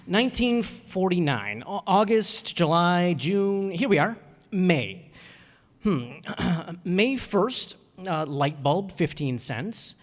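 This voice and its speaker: A man's voice: high-pitched, sing-song, slow, exaggerated voice. In a reverberant hall, an actor plays a bashful, frugal man in a radio sitcom. He is hesitant and earnest, fussing over details with little stammers. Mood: earnest, fussy. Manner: hesitant, earnest, fussy, throat-clearing. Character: bashful, literal-minded, penny-pinching male teacher. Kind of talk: old-time radio sitcom acting before an audience. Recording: echoey hall, amateur stage performance.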